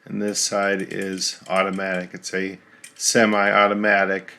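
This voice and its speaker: A man's voice: sits low, completely flat delivery, unhurried, pushed and bright. A man casually narrating an unboxing of a radio key, explaining each part plainly as he shows it.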